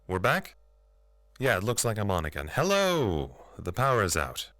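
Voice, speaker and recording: An actor playing an earnest, chatty facility radio operator, giving a rambling, matter-of-fact log in an audio drama. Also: male voice, on the low side, wildly swooping pitch, normal speed, heavy background noise. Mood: calm, explanatory.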